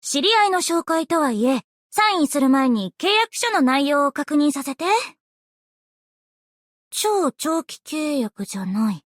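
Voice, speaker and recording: A woman playing an earnest, bubbly, easily flustered young female idol in an anime game, with a cute, expressive, girlish delivery. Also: high-pitched, a little slow, dry recording, studio quality. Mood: careful, relieved. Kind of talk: anime game character voice lines.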